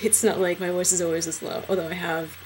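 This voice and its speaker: A young transgender man in a casual personal vlog, talking hesitantly with many fillers and restarts, his trained low voice deepened further by a cold. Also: little pitch movement, quick pace, slight background noise, poor audio. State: voice lowered by illness.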